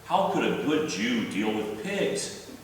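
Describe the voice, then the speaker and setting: A man's voice: mid-range voice, big pitch swings, takes it slowly. Man acting a remorseful prodigal son, echoey and distant. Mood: disgusted. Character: remorseful prodigal son, ashamed and self-blaming. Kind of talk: church readers theater monologue. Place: large church room, live before congregation. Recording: echoey church hall from far off, faint noise.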